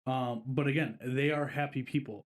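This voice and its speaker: A man with a mid-range voice chats on a relaxed podcast. He wanders through a travel story at an easy pace, leaning on filler words as he goes. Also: medium pitch, moderate pace. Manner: rambling, easygoing storyteller with frequent fillers. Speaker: podcast co-host. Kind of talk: casual podcast chat.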